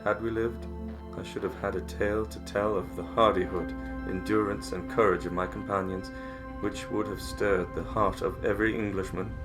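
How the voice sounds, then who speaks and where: A man playing a dying polar expedition leader in a dramatic stage reading, weary but stoic, speaking in a solemn, formal, measured way. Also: on the low side, steady tone, natural voice. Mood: resigned, dignified. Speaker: amateur theatre actor. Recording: prominent music under the voice.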